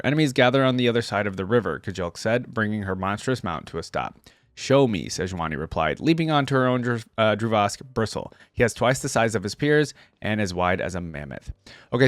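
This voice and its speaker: A man's voice: on the low side, no room sound, studio quality. A man hosting a reaction video, reading a story aloud and commenting informally in a casual style. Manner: informal, breaks off to comment on what he reads.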